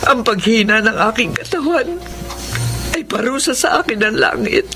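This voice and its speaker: A woman acting a frail, guilt-ridden older mother, pleading haltingly in a Filipino radio drama. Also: on the high side, very animated, over music. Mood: pleading remorse.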